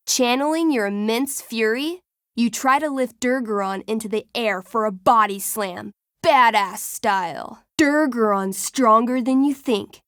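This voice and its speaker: A woman's voice: a little high, no echo, clean studio sound. A woman playing a friendly, nerdy teenage girl in a video game, casual and playful, with a game master's flair for drama. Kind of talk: naturalistic video game voice acting.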